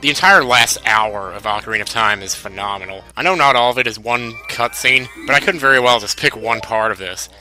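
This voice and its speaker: A man's voice: medium pitch, brisk, hardly any pauses, rough recording. A man hosting a video game countdown with scripted narration, conversational and slangy, with wry asides. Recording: music behind the voice. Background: music.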